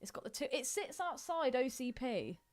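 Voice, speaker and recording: A woman's voice: high voice, very animated, moderate pace. A woman in her twenties co-hosting a podcast in informal chat, often raising questions. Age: 20s. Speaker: podcast co-host. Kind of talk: casual chat.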